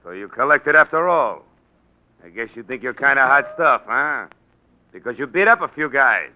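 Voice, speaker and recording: An actor playing a tough, bullying big-city political boss in 1940s radio style, gruff and sardonic, talking in gangster slang. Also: male voice, medium pitch, lively intonation, moderate pace, close to an everyday voice. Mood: sardonic, commanding. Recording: dull 1940s radio recording.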